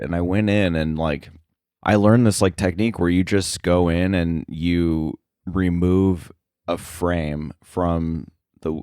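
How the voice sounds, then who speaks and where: A man talking casual shop as an interview guest, halting and often circling back to rephrase himself. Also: sits low, a little mellow, dry recording, studio quality. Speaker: interview guest, sound effects editor.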